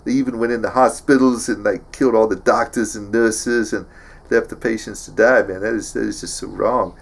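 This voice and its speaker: A man playing a slow-witted, tough action-movie hero who stammers and mumbles through favours and boasts in a comedy sketch. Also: lowish voice, steady tone, vocal fry. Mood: dim-witted bragging. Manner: halting, mumbling, stammering braggadocio.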